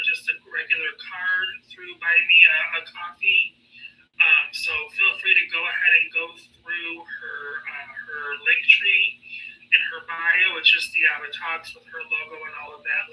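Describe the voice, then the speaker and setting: A man's voice: on the high side, pushed and bright. A man co-hosting a live stream, chatty and rambling with lots of fillers as he asks viewers for likes and donations. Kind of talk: live-stream audience appeals. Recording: distant from the mic.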